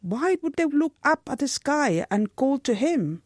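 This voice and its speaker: A woman's voice: sits high, very animated, measured pace, no echo, studio quality. A woman giving a translated first-person voice-over, telling a story as an interpreter.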